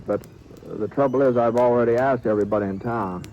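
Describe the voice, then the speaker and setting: An actor playing a reluctant, easygoing western cowhand in an old radio drama, speaking in a halting, folksy, understated way. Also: male voice, medium pitch, muffled recording, natural voice. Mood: mild, sly. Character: reluctant, easygoing western cowhand recounting his small-town troubles. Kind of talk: 1950s radio western acting and first-person narration. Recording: noisy vintage radio broadcast recording.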